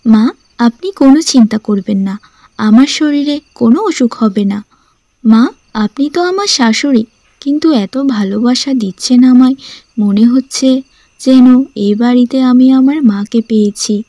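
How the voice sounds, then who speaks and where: A woman's voice: on the high side, moderate pace, dark tone, no echo. A woman playing a devoted, determined young daughter-in-law in earnest cartoon dialogue. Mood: grateful, reassuring.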